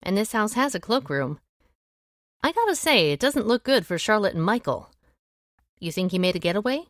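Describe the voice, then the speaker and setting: An actress playing a shrewd, take-charge amateur detective with a brisk, wry way of reasoning aloud in an audio drama. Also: female voice, mid-range voice, sing-song, no room sound, clean studio sound, close to an everyday voice. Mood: musing, decisive. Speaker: lead actor in an audio drama.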